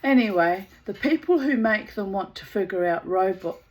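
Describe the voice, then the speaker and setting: A woman's voice: mid-range voice, expressive, moderate pace, close to an everyday voice, rough recording. A woman with a New Zealand accent reading a male engineer in a storybook, explaining plainly to a worker. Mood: matter-of-fact. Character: weary male engineer supervising builders.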